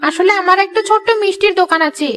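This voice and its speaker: A woman's voice: very high-pitched, very fast, fairly bright tone, faint music behind. A woman voicing an earnest, entreating village woman in a cartoon fairy tale. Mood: pleading. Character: pleading village sweet-shop woman and a dutiful young daughter.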